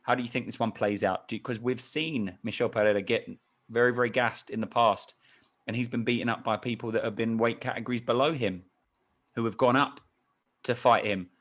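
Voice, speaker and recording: A man's voice: mid-range voice, steady tone, fairly quick, fairly bright tone. A man hosting a sports podcast discussion, introducing topics with playful hype. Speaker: podcast host.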